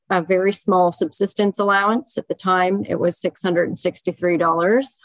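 A woman's voice: on the low side, no echo, low-quality recording. A woman in her thirties, a guest on an interview podcast, telling her story candidly in a conversational way. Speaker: podcast guest, child advocate. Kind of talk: interview answers.

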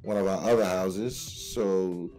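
A man's voice: low-pitched, steady tone, slow pace, warm tone, faint music behind. A man co-hosting a casual podcast, playful and joking, often answering with "yes, sir.". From DC area. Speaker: podcast co-host. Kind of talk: casual profane podcast banter.

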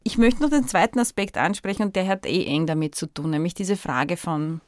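Thoughtful Austrian woman, low, dry. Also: a little fast, darkish tone, no room sound. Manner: thoughtful, searching for words, Austrian expressions. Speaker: podcast host. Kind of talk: reflective conversational interview.

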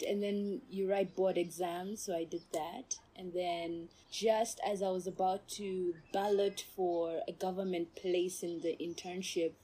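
A woman's voice: mid-range voice, dark tone, husky, rough recording. A young woman from Kenya with a Botswana English accent, telling her story in a casual interview and laughing often. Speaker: doctor interviewee.

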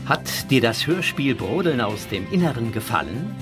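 A man narrating a children's audio drama as a calm storyteller, reading scripted narration. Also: mid-range voice, normal speed, over music, close to an everyday voice.

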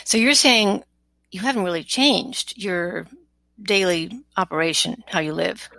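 Creaky-voiced woman interviewer, close and dry. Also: mid-range voice, a little slow, sharp tone, no echo. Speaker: podcast interviewer. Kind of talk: conversational interview.

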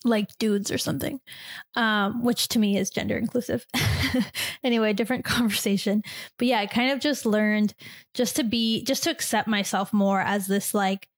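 A woman's voice: mid-range voice, steady tone, moderate pace. A young woman from Chile raised in the US, hosting a casual solo podcast and talking in a chatty, rambling way full of "like". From born in Chile, raised in the US. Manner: chatty, rambling, many "like"s and restarts. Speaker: podcast host.

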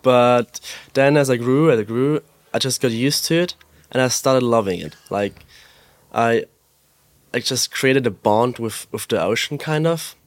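A man's voice: mid-range voice, no room sound. Laid-back young man from Austria. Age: young adult. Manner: relaxed, fills pauses with "like" and "um", laughs easily. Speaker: podcast guest. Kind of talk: casual interview chat. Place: pop-up store.

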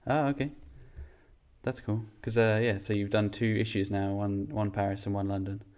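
A man's voice: on the low side, little pitch movement, moderate pace, a little mellow, clear, resonant tone. A man hosting a podcast, reading a scripted intro and then chatting with a guest, often restarting his questions. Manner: hesitant, many restarts when interviewing. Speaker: podcast host. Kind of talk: scripted intro and casual interview.

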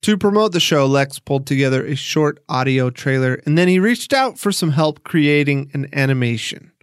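A man hosting a podcast, narrating and discussing in a clear explanatory podcast style. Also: on the high side, lively intonation, normal speed, no room sound, clean studio sound. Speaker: podcast host, interviewer. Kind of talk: scripted podcast narration with conversational interview.

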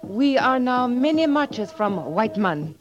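An actress playing a young Sioux chief's daughter in an old radio drama, proudly pleading for peace in halting broken English. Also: female voice, fairly high pitch, expressive. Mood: earnest. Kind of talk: 1950s radio drama acting. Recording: dull old radio recording, music behind.

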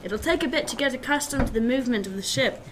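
A woman's voice: a little high, lively intonation, fast talker, noisy background, natural voice. A woman playing a level-headed, dryly witty young male officer in a period seafaring radio drama, with formal nautical phrasing. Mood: brisk, courteous.

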